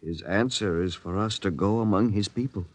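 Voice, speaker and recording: An actor playing an earnest, deferential communications expert, polite and careful in explanation, in a 1950s radio drama. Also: male voice, fairly low pitch, normal speed, natural voice. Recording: dry, band-limited 1950s radio recording.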